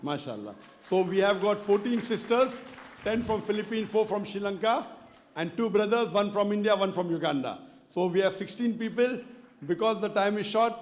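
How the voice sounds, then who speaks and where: A man giving a public religious lecture into a stage microphone, speaking politely and deliberately as he leads an audience through call-and-response. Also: high-pitched, expressive. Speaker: Islamic lecturer. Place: lecture hall.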